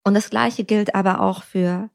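A woman's voice: mid-range voice, fairly even intonation, unhurried, creaky, a little mellow. A woman therapist in a podcast conversation, speaking reflectively, with frequent ums and everyday examples. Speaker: couples therapist.

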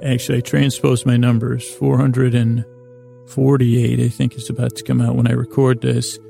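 A man hosting a bedtime podcast in a gravelly, creaky voice, meandering and halting, often doubling back on himself. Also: medium pitch, flat delivery, warm tone, soft background music. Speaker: podcast host. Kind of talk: rambling bedtime sleep podcast monologue.

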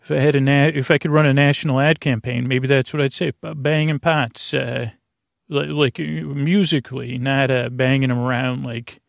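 Gravelly, meandering male podcast host. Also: medium pitch, fairly even intonation, dry recording. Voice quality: creaky, gravelly. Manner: meandering, halting, stammering and repeating words. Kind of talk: rambling bedtime podcast introduction.

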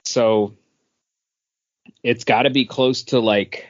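A man in his thirties chatting casually as a podcast co-host, rambling through his story with plenty of "like" and "uh". His voice sits at a middle pitch, and the recording sounds a bit dull and lo-fi. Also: steady tone, takes it slowly. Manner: rambling, filler-heavy storytelling. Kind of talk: casual chat.

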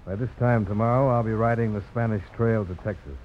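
A man's voice: on the low side, fairly even intonation, a little fast, close to an everyday voice. Man playing terse frontier hero, old muffled radio. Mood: firm, resolute. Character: brave, proud frontiersman and knife fighter, wounded. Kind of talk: 1950s radio drama acting. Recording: muffled narrowband old radio broadcast, faint hiss.

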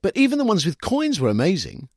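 An earnest teenage boy, played by a man reading a short story, pitched up and lively. He is guileless and enthusiastic, eager to explain. The sound is dry and close. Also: fast, no room sound, heavily acted. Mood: excited. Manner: bright-eyed, enthusiastic, guileless.

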